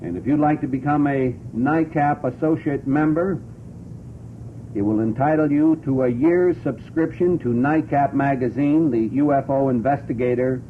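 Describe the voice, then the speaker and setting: A man hosting a radio talk show in a conversational, deliberate way, repeating and spelling out details for listeners. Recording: noisy, tinny vintage radio recording with rumble.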